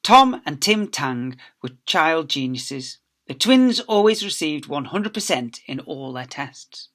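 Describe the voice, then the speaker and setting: Male teacher doing storytime for little kids, really bright punchy voice, theatrical, leans on the key words. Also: fairly high pitch, sing-song, slow pace. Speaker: teacher reading aloud. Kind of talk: expressive children's story reading.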